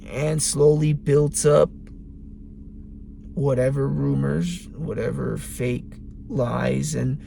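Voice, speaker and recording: A man with a raspy voice giving a slow, deliberate late-night monologue in a parked car, pausing for emphasis. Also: on the high side, darkish tone, slight background noise. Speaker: vlogger.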